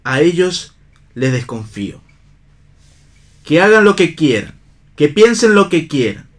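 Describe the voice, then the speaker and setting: A man's voice: on the high side, big pitch swings, unhurried. An Argentine man playing a bitter, idealistic, disillusioned stage actor in a radio theatre reading. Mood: impassioned, oratorical.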